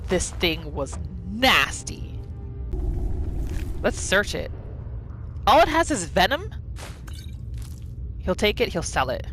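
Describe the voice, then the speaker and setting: Animated woman doing casual gameplay commentary. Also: mid-range voice, slow pace, long pauses, over music. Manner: expressive, reacting aloud, talks to herself. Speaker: gaming video host. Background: game ambience.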